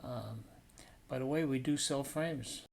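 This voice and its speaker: A man's voice: mid-range voice, unhurried, gravelly, darkish tone. Casual man explaining a painting demo. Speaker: painting instructor and artist. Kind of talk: informal voice-over narration of a painting demonstration.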